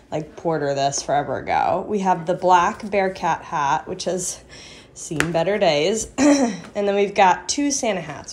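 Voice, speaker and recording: A young American woman chatting casually as a vlogging mother, recorded on a phone at arm's length at home. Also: mid-range voice. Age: young adult. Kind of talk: casual vlog chat. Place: indoors at home, living room and kitchen. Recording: clear household background noise.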